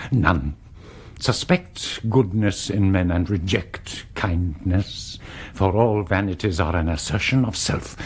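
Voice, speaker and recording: An actor playing a weary, ascetic old bishop in a radio drama, husky and portentous, full of disgust at mankind. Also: male voice, deep voice, full character voice. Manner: slow, portentous, rhetorical.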